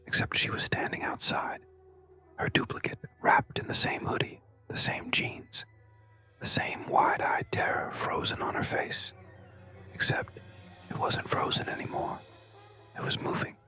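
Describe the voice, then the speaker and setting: A narrator telling a scary story in a breathy, hushed whisper, with dramatic short suspenseful phrases. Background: music.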